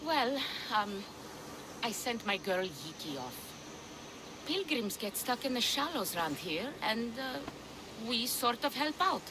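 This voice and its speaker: A woman's voice: a little high, sing-song, slow pace, background noise. An actress playing a blunt, sardonic, foul-mouthed female pirate ship captain in a video game cutscene. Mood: roguish, candid.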